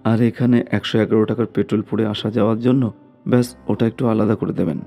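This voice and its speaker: A man's voice: lowish voice, level tone, quick pace, gravelly, mellow, soft background music. A man voicing a businesslike male doctor who minds his fee in a cartoon story, with matter-of-fact delivery. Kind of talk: scripted cartoon story acting.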